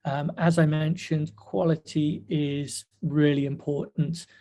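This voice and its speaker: Hoarse male webinar host, slightly high, flat delivery, halting with fillers, dark tone. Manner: halting, many fillers and restarts. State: hoarse, nearly losing his voice. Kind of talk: casual webinar talk. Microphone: webcam video call.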